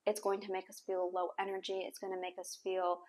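A young woman speaks as a podcast host in a medium-pitched voice, giving casual advice. Her delivery is conversational and encouraging, halting now and then as she rephrases herself. She is recorded on a clip-on mic in a small room. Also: completely flat delivery, a little mellow. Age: young adult. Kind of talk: casual advice talk to camera. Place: small bare room indoors with hard floor. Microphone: clip-on lapel mic.

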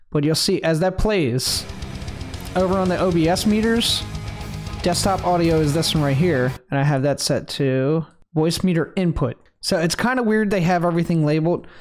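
A man casually walking viewers through his streaming audio setup, rambling a little and using fillers as he goes. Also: a little high. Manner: relaxed, rambling explanation with fillers. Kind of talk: casual screen-recorded tutorial.

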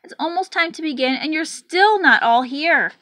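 Exasperated penguin maestro played by a woman reader, very high, emphatic, demanding, storybook style. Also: expressive, sharp tone. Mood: exasperated, urgent. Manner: emphatic, exclaiming, drawn-out stresses. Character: exasperated, demanding penguin orchestra conductor, male.

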